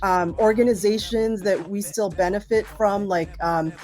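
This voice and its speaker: A woman's voice: medium pitch, fairly even intonation, moderate pace, a little mellow. A woman college professor chatting as a guest on a live show, talking freely with lots of fillers and asking the audience questions. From Boston area, USA. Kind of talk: casual live interview chat.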